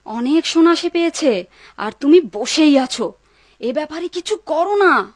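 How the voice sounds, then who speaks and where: High-pitched nagging greedy wife. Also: female voice, animated, a little slow. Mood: goading. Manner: pushy, goading. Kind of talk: dubbed cartoon acting.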